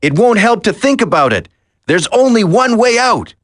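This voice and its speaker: A man playing an earnest, steady special forces operative in a dramatic video game. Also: sits high, normal speed, dry recording, clean studio sound. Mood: grave.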